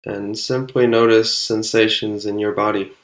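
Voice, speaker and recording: A man leading a guided meditation, speaking calmly and unhurriedly with long pauses between short instructions. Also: lowish voice.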